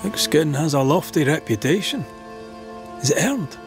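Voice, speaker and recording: Game lead: marksman, confident, earnest with wry charm, animated intonation, over prominent music. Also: on the high side, moderate pace. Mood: wry, polite. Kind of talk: dramatic game cutscene acting.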